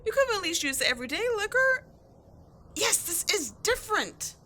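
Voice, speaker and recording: A woman playing a cocky, sarcastic tomboy adventurer in an audio roleplay, slangy and expressive in her exasperation. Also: very high-pitched, fairly quick, full character voice. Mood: outraged. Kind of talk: scripted ASMR-style audio roleplay, one-sided dialogue.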